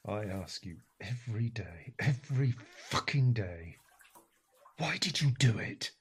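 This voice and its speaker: Bitter, worn-down husband, middle-aged, for audio drama. Deep, slow, resentful muttering with watery background noise. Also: male voice, expressive. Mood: accusing. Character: weary, resentful husband, self-pitying and greying. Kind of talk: naturalistic audio drama acting.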